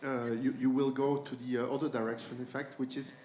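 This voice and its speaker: A man's voice: medium pitch, level tone, a little fast, darkish tone, slight background noise. A man in the audience asking a long, rambling question, repeating "in fact". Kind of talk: question from the floor. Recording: roomy hall sound.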